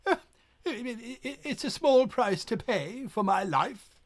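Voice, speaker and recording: A man's voice: very high voice, animated, measured pace, voice pushed up, heavily acted. A man voicing a rescued shipwrecked merchant who stammers and repeats his words. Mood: stammering.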